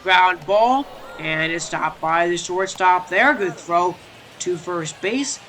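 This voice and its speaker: A young man calls the action of a baseball video game, his voice stuffy and congested. It sits high for a man and rings very bright and forceful, chatty and full of restarts, with faint room hiss behind. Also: slow pace. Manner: chatty, many fillers and restarts, excited on big plays. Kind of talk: casual play-by-play commentary.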